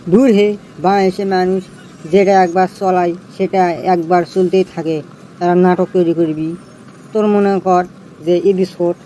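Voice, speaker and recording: Comedy cartoon male actor as jittery young village lad, high voice, excitable colloquial griping, northern Bangladeshi accent. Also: slight background noise, poor audio. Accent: northern Bangladeshi regional. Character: anxious, panicky young village man scared of snakes. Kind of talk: comic cartoon dialogue.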